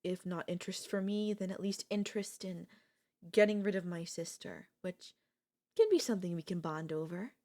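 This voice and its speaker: A woman's voice: on the low side, normal speed, warm tone, close to an everyday voice. A woman playing an obsessive, lovestruck and gleefully sinister older sister in an ASMR audio roleplay. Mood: smitten, coaxing.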